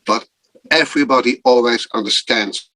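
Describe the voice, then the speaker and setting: A man, a guest improviser, speaking casually and thoughtfully over a video call, with frequent pauses. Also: medium pitch, sharp tone, no echo. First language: not German. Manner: slow, thoughtful, pausing often.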